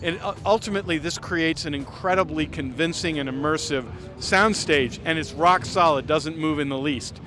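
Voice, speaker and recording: A man's voice: on the high side, normal speed, hardly any pauses. A man presenting products off the cuff as a company representative, with an enthusiastic, salesy delivery. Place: trade show. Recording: music behind the voice.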